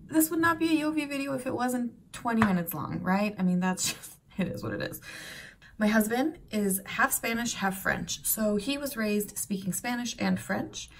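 A woman in her thirties, born in Serbia and raised in Canada and the United States, chatting casually to camera from a bright room at home, rambling with lots of fillers and asides. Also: mid-range voice, slight background noise. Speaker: vlogger.

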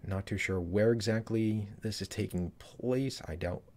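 A man's voice: on the low side, measured pace, a little mellow. A man in his thirties giving casual, rambling commentary on a trailer from a small room, with lots of fillers and restarts. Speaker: YouTube trailer reviewer.

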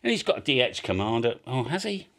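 British male video host, highly varied intonation, casual explainer with an emphatic, blunt, rambling delivery. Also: big pitch swings, fast, a bit bright. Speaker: amateur radio video host.

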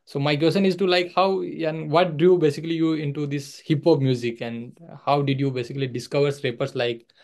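Young interviewer asking slow questions. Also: male voice, a little high, fairly even intonation, a little mellow. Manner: searching, repeats "basically" and "also". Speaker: podcast host. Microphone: video call.

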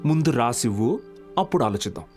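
An actor playing a good-natured young junior teacher in a film, talking casually. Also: male voice, mid-range voice, big pitch swings, fast talker, music in the background, natural voice. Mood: teasing.

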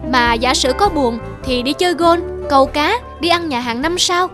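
A woman voicing a money-obsessed, dismissive young businessman, boastful and insistent, in an animated moral drama. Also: high voice, moderate pace, music in the background, natural voice.

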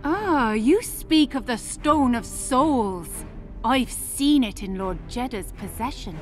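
An actress voicing a seductive, playful and haughty succubus in a game, with a sultry, teasing, drawn-out delivery. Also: female voice, fairly high pitch, animated. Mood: playful, coy. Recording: music behind the voice.

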